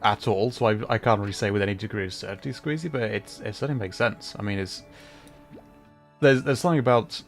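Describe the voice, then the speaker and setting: A man with a fairly low voice hosts a laid-back gaming stream. He talks casually and carefully, often hedging as he goes, with faint music playing behind him. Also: quick pace. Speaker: livestream host, gamer. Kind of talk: casual livestream chat while playing a video game.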